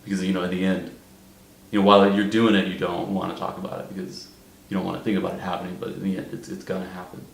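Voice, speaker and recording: A man's voice: low-pitched, little pitch movement, quick pace, creaky. A twenty-year-old man talking reflectively and informally in a documentary interview, thoughtfully correcting himself as he goes. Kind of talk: candid, reflective interview talk. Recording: roomy echo with faint music. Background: faint instrumental music.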